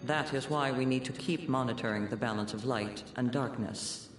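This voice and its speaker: A man playing a cold, haughty overseer in a video game cutscene, speaking in a measured, formal way. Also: mid-range voice, soft background music, lightly acted. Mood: cold disdain. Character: cold, haughty male overseer of a utopian civilisation. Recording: echoey, roomy sound.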